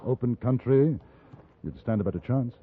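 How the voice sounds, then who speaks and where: A man with a British accent playing a dry, cautious gentleman adventurer and narrating in a 1950s radio drama, wry and understated. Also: mid-range voice, normal speed, a little hiss or hum, lightly acted. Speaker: actor and narrator. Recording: old radio, narrow band, music behind.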